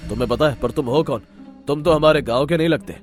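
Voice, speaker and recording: A man's voice: a little high, quick pace, faint music behind, lightly acted. Stern, demanding village headman, played by a man. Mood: questioning. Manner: demanding, authoritative.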